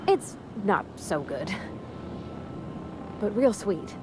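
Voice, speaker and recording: A sweet, shy young mechanic from a video game, with a rural Southern American accent. She talks in a folksy, hesitant and earnest way. Clear engine-like ambient noise sits behind her. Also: mid-range voice, big pitch swings, extremely slow. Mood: shy, hopeful. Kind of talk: video game character acting.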